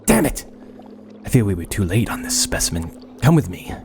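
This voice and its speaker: An actor playing an intense, impatient, obsessive young medical scientist in a horror audio drama, with formal, arrogant diction. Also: male voice, on the low side, big pitch swings, moderate pace, over music. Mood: frantic, determined.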